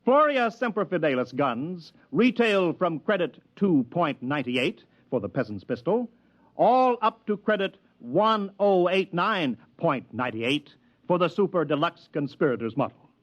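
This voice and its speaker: An American actor in a 1950s radio drama playing a fussy, unctuous older adviser who wheedles and stammers pompously. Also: male voice, fairly high pitch, big pitch swings, a little slow. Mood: grand announcing. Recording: dull vintage 1950s radio recording.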